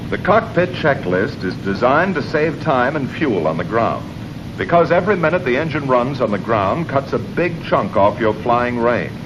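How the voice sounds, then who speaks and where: An American man narrating a scripted training film in a measured, instructional manner. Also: mid-range voice, very animated. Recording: muffled old film soundtrack, clear noise.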